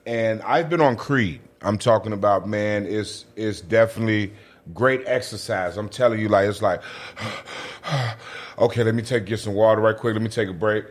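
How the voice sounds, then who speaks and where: A man's voice: lowish voice, unhurried. A man chatting casually as a guest, emphatic and slangy, repeating phrases to drive points home. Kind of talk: casual chat.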